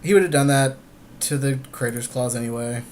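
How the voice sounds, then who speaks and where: A man's voice: mid-range voice, a little fast, darkish tone. A man chatting informally as co-host over a card game, chiming in with short comments and agreement. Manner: informal, brief interjections and agreement. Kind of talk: casual chat, game commentary. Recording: noisy amateur capture with background noise.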